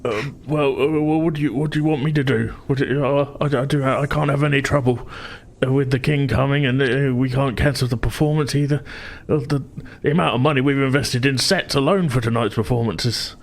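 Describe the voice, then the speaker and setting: A man's voice: medium pitch, fairly even intonation, a little fast, hardly any pauses, voice pushed up, lightly acted. Man playing a flustered, stammering dwarf manager. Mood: anxious. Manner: stammering, fretful. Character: flustered male dwarf opera house manager, anxious, deferential.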